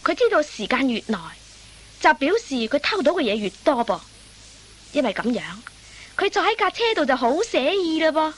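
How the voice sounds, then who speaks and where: A woman's voice: high voice, very animated, moderate pace. A woman narrating a scripted radio comedy drama as a storyteller.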